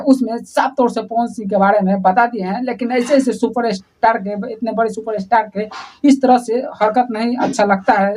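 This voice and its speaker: A woman's voice: on the high side, a little mellow, slight background noise. A woman with a Bihari accent giving informal, opinionated news commentary, talking straight to viewers in a colloquial, repetitive way. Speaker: online news presenter.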